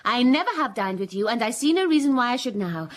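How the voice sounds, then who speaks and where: An actress playing a proud, plain-spoken young governess in love, earnest and gently teasing. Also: female voice, fairly high pitch, animated, quick pace, close to an everyday voice. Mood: spirited. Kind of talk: period radio drama.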